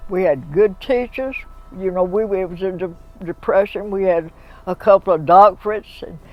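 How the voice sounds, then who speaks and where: A woman over a hundred years old, telling school memories with lively humor. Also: medium pitch, moderate pace, highs cut off. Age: 100s. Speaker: interviewee. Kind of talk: oral history reminiscence.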